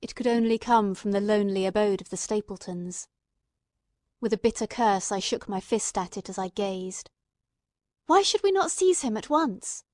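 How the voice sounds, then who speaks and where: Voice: mid-range voice, lively intonation, normal speed, darkish tone, no echo, clean studio sound, natural voice. A performer playing an earnest, emotional male companion who narrates a radio mystery in a literary, fervent manner. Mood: urgent. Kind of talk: dramatic scripted narration, old-time radio mystery.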